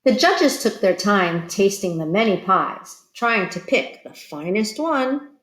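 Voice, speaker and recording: A woman reading a picture book aloud to children like a teacher, expressive and pausing to put questions to young listeners. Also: medium pitch, reverberant. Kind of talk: children's read-aloud storytelling.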